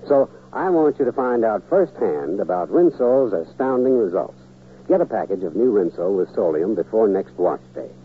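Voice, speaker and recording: A man giving an earnest, emphatic scripted sales pitch as a 1940s radio commercial announcer. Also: animated, normal speed. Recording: noisy, narrow-band old radio recording.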